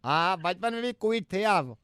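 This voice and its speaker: A man puts on a high, clear-voiced young guy for a radio prank call. He is cheeky and overfamiliar, needling and bossing like a pest, in a close dry sound. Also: expressive, moderate pace, fairly bright tone, no room sound. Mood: teasing. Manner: needling, bossy, falsely familiar. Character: young man, cheeky acquaintance pestering and threatening a woman.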